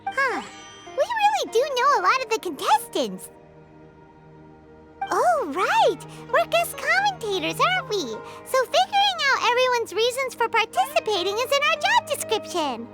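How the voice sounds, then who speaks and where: A woman's voice: very high voice, wildly swooping pitch, moderate pace, over loud music, heavily acted. A woman voicing a small, chirpy, bubbly childlike companion in a video game. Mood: curious. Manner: chirpy, refers to self in third person. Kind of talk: video game character acting.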